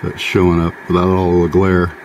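Low-pitched man, hands-on demo. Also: monotone, normal speed, darkish tone, highs cut off. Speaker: host demonstrating homemade radio gear. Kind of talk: casual hands-on demonstration. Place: small indoor workbench by a window. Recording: faint background noise.

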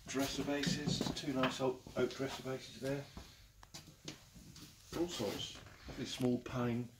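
A man's voice: mid-range voice, flat delivery, takes it slowly. A man in his forties with an English accent, an auctioneer chatting enthusiastically as he walks a camera round a large cluttered saleroom. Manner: chatty and enthusiastic, chuckling often. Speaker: auctioneer giving a saleroom tour. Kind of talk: casual walk-through commentary. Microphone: handheld camera following him. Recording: noisy saleroom, walking camera mic.

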